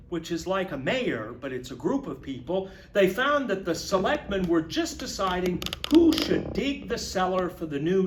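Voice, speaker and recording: A man reading a children's picture book aloud to young listeners in an expressive, emphatic storyteller's manner. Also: sits high, echoey room. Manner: expressive, emphatic, explains words in asides.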